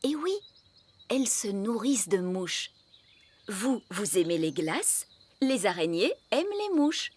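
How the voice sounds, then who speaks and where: A woman's voice: medium pitch, sing-song, measured pace, a little mellow, slightly rough. A woman playing a knowing, bossy fairy nanny in a children's cartoon, speaking in an instructive, emphatic way with proper diction.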